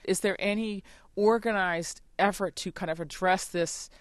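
A woman's voice: medium pitch, fairly even intonation, moderate pace, no room sound. Dry, close-sounding woman interviewer. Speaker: interviewer, host. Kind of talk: conversational news interview.

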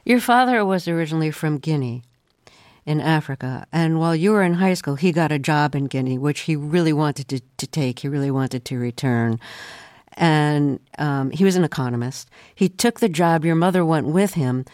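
A woman hosting a radio interview, asking calm, probing questions with frequent pauses and ums, from a studio. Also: deep voice, no echo.

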